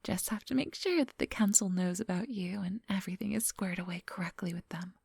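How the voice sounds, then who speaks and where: A woman playing a centuries-old vampire boss in an ASMR roleplay, whispery and breathy, speaking close to the microphone in a silky, patronizing, quietly menacing manner. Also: medium pitch, no room sound. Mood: guarded, smooth. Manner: slow, unhurried, silky and patronizing.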